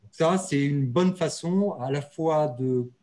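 A man's voice: on the high side, slow, dark tone. A man giving a conference presentation, speaking hesitantly with frequent fillers and restarts. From France. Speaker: university research partnership officer.

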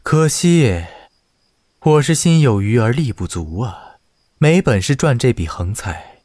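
A man playing a shrewd, wry steward of an ancient household in a costume audio drama, speaking in a measured, theatrical way. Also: medium pitch, big pitch swings, a little mellow, slight background noise. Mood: wry, probing.

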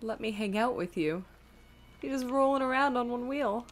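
A woman's voice: fairly high pitch, normal speed, slight background noise. A woman giving playful, chatty, casual commentary as she streams a game.

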